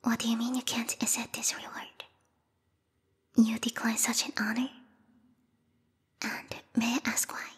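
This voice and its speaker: A woman voicing a lethal yandere vampire bat girl, a queen's knight with formal, theatrical speech, in a breathy whispered ASMR roleplay. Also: fairly high pitch, monotone, a little slow, long pauses. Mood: wounded, suspicious. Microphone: close binaural microphone. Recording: reverberant hall-like echo.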